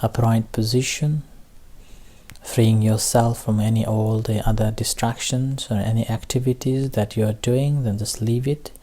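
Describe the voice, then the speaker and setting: A man leading a guided meditation as a teacher, speaking gently and haltingly in short phrases with long pauses. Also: lowish voice, level tone. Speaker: Buddhist meditation teacher leading a group session. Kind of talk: guided meditation instruction, unscripted.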